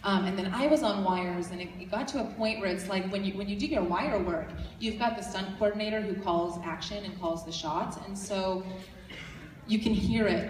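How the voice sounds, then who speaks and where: A woman with a low voice answering fan questions at a convention panel, rambling with lots of fillers and restarts. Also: a little mellow, slight background noise. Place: large convention hall. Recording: echoey hall, distant mic.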